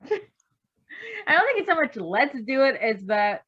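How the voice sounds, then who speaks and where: High-pitched, animated woman reacting casually. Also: takes it slowly, slightly rough, highs cut off. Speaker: co-reader reacting to story.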